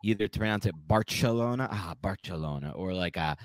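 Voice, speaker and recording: The low-pitched guy on a sports podcast in a dead-quiet booth, arguing his take and amending it as he rambles along. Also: steady tone, quick pace, dry recording, studio quality. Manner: rambling, self-correcting, opinionated. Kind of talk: casual sports analysis.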